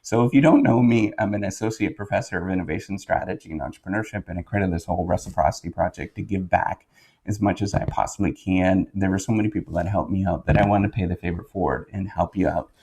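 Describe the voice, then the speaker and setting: A man with a Canadian accent giving a casual explainer monologue as a professor, in a halting, rambling way, correcting himself and checking in as he goes. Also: deep voice, vocal fry, warm tone, slight background noise. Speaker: professor and video host.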